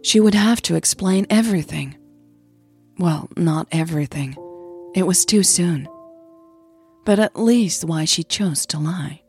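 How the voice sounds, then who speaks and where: A woman's voice: fairly low pitch, unhurried, pauses often, a little mellow, faint music behind. A woman narrating a scripted audio drama as its storyteller.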